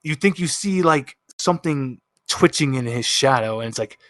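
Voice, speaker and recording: A man's voice: mid-range voice, expressive, normal speed, no echo. A man narrating a tabletop role-playing game as game master, improvising descriptions for the players.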